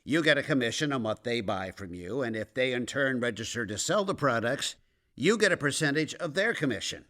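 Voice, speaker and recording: A man acts out a slick company man making his pitch in a radio comedy. His voice is bright and forceful, swinging animatedly as he piles on officious, long-winded sales talk. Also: animated, brisk, close to an everyday voice. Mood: salesy, persuasive. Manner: salesy, officious, hesitant filler-laden pitch. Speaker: company representative. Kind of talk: comedic radio drama acting.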